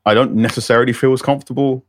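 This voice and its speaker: A man's voice: mid-range voice, steady tone, rapid-fire, dry recording, studio quality. A man, chatting on a podcast panel and thinking aloud, with frequent restarts. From London. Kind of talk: casual discussion.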